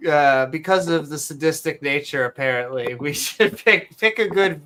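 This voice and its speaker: A man co-hosting a casual late-night podcast, rambling and jumping between topics, his voice going hoarse. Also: on the high side, normal speed, fairly bright tone. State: losing his voice. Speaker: podcast co-host.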